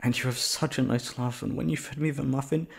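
A man's voice: medium pitch, fairly even intonation, a little fast, creaky, lightly acted. A man playing a shy, lovestruck young man rambling hesitantly and breathily in an ASMR roleplay. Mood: flustered, sweet. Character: shy, flustered, lovestruck young man after a first date.

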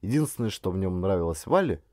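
A male actor narrates a literary adventure audiobook in the first person. His voice is on the low side and mellow, with very varied intonation that carries the storytelling. The sound is dry, with no room around it. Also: animated, fast, dry recording.

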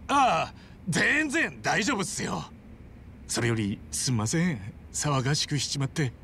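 An actor playing an earnest, hot-tempered former yakuza hero in a video game, with rough but decent speech. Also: male voice, fairly high pitch, huge pitch swings, unhurried, sharp tone, a little hiss or hum, exaggerated voice. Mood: humble, polite. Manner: rough slangy speech, polite to workmates. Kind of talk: dramatic video game voice acting.

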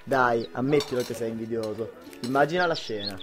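A man's voice: mid-range voice, fairly quick, lightly acted. A young man, a student actor playing a boastful, lovestruck, hot-headed husband in an amateur radio drama. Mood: boastful, amused. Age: young adult.

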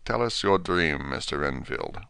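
A man voicing a stern elderly professor who speaks in a low, measured, grave way. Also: sharp tone, smooth, no echo, studio quality. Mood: quiet.

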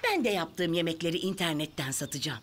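A woman voicing a cheerful, proud mother hen in a children's cartoon, expressive and theatrical. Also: lowish voice, fairly quick, loud music behind the voice, natural voice. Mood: cheerful, dreamy.

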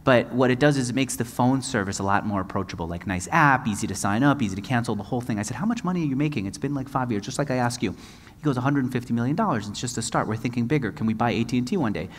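A man moderating a conference panel, his pitch swinging up and down as he acts out conversations. He talks into a headset mic on a stage in front of a big audience. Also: very fast, a little hiss or hum. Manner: expressive, gestures broadly, quotes others in dialogue. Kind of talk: animated onstage storytelling.

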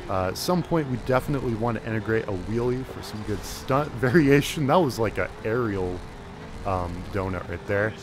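Brief: young male gamer, low voice that swings wildly, game noise loud underneath. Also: moderate pace. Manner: excitable, self-mocking, talks to himself. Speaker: gaming YouTuber. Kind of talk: casual let's-play commentary. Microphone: headset.

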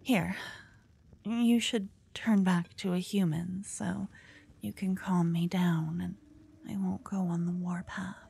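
A woman voicing a jealous, doting young witch girlfriend in an intimate ASMR roleplay, breathy and often whispering, with dramatic delivery. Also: fairly low pitch, fairly even intonation, slow, warm tone, slight background noise. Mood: calming, frustrated. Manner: dramatic, swinging from cooing to venting. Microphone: close ASMR microphone.

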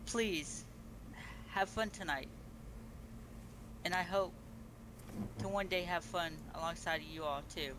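A man's voice: high-pitched, measured pace, long pauses. A man voicing a pregnant beast-woman empress who formally welcomes guests to her feast. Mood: stately. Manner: formal, grand speech with restarts. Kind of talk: role-play in a multiplayer game. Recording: noisy game-chat audio.

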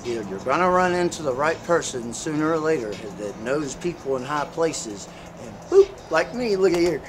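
A man's voice: fairly high pitch, very animated, moderate pace, a crowd in the background. A man in a casual street interview who tells his story in an earnest, preaching way, filling his talk with "you know.".

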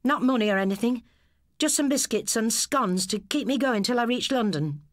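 A woman's voice: fairly high pitch, lively intonation, moderate pace, no echo, studio quality, voice pushed up, natural voice. A woman voicing a moody, sulky runaway schoolboy in an audiobook story. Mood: sulky, defensive. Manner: sulky, defensive.

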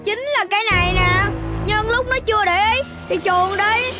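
Loud music runs behind a very high, squeaky little cartoon kid. The youngster is excitable and playful, quick to take fright, in the manner of an animated children's show. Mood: urgent. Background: loud background music.

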